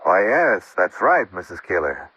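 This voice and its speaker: An actor playing a wry, businesslike American insurance investigator in 1950s radio detective style. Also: male voice, low-pitched, sing-song, measured pace, bright, forceful tone, breathy edge, heavily acted. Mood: courteous, probing. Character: brisk, probing male freelance insurance investigator, wry and businesslike. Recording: muffled old radio, faint music.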